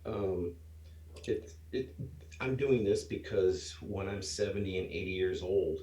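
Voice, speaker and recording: A man's voice: low-pitched, a little slow, mellow, roomy sound. A man in his sixties, a trader in a mentoring program, chatting in an easygoing, rambling way. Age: 60s. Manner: easygoing, rambling, laughs at himself. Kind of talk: casual chat.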